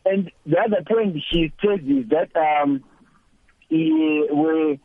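A man phones in to a radio show from a moving car, earnest and halting. His voice is gravelly, pitched fairly high, and squeezed through a tinny phone line. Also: animated, dry recording. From Zimbabwe. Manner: earnest, halting, repeats words. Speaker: caller.

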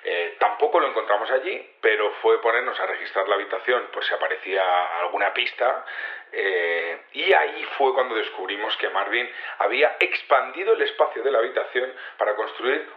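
A man with an accent from Spain playing an earnest, chatty security chief, explaining haltingly over a telephone in an audio drama. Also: on the low side, animated. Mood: earnest, explanatory. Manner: hesitant, halting, colloquial, explanatory. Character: earnest, chatty male head of community security. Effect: telephone filter. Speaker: security chief interviewed by phone. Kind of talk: naturalistic audio drama interview. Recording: dull, echoey phone line.

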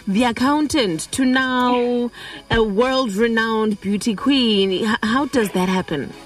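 A South African woman hosting a midday radio show, lively and quick to laugh, switching between languages. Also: on the high side, far from the mic, soft background music. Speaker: radio host. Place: radio studio.

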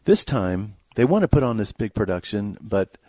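A man with a lowish voice talks as a radio movie co-host. His delivery is casual, folksy and halting, recorded close in a dry studio. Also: very animated, mellow, dry recording. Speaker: film review co-host.

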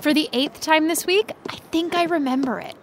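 A woman playing a guarded, self-deprecating high school senior in naturalistic podcast drama, with wry, defensive chatter. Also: a little fast, a little hiss or hum. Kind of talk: naturalistic fiction podcast acting.

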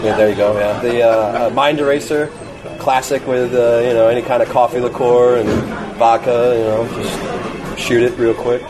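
A man's voice: medium pitch, steady tone, normal speed. A man talking casually in a group conversation, as a bartending guest. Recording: busy crowd noise around voices.

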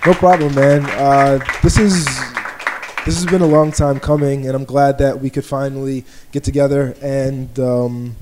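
A man from Baltimore hosting a live podcast interview in front of a small audience, easygoing and relaxed. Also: medium pitch, a little slow, slight background noise. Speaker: podcast host.

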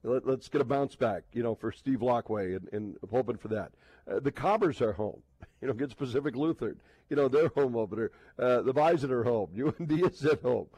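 Guy hosting sports radio, mid pitch, casual, keeps restarting his sentences, no room echo. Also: lively intonation, a little mellow, husky. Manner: halting, repetitive, often restarting sentences. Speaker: sports talk radio host.

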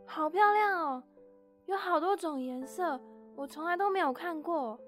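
A woman's voice: very high voice, unhurried, a bit bright, faint music behind. A woman voicing a small, naive young mouse with a childlike, innocent and emotional way of talking in a children's audio story. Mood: wondering.

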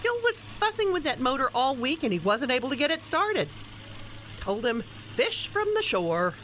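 A woman's voice: high voice, sing-song, normal speed, a bit bright, slight background noise. A woman playing a bossy, teasing older grandmother in a radio play, dryly exasperated with her husband and scolding in a no-nonsense way. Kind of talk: small-town radio play acting.

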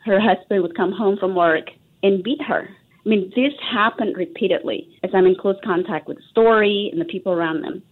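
A woman's voice: mid-range voice, brisk. Earnest woman guest on a phone line. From Indonesia, raised in Texas. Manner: earnest, reflective, many fillers and restarts. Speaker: radio interview guest. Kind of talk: radio interview answers.

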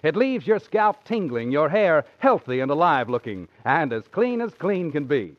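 A man's voice: on the high side, big pitch swings, normal speed, no echo. A man reading a 1940s radio advertisement as announcer, with polished, emphatic salesmanship. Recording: dull 1940s radio recording.

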